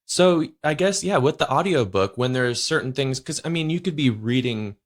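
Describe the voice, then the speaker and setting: A man's voice: medium pitch, lively intonation, normal speed. A young man hosting a podcast, chatting casually and rambling, with lots of "you know" and "like". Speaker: podcast host. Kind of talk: casual interview chat.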